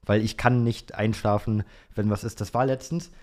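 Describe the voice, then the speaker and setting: A man's voice: fairly low pitch, steady tone, moderate pace, darkish tone. A young adult man co-hosting a podcast in casual, slangy conversation, repeating phrases for emphasis. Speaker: podcast co-host.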